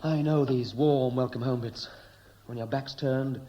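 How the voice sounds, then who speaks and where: A man's voice: medium pitch, steady tone, a little slow, dark tone, muffled recording, slight background noise, close to an everyday voice. Cynical homecoming sailor male actor, blunt and dryly sardonic, 1960s radio drama. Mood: cynical, guarded. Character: cynical Welsh seaman home after years away.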